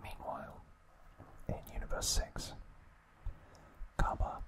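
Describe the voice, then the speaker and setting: A man whispering an ASMR trivia recap in a breathy, unvoiced, hushed voice with gentle, halting pauses. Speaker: ASMR host.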